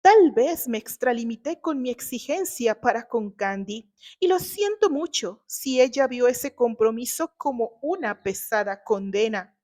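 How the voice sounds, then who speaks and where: A woman performs a middle-aged wife and mother in an audio novel. Her voice is high, and she is defensive and desperate, pleading and justifying herself with hesitations. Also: expressive, measured pace, darkish tone.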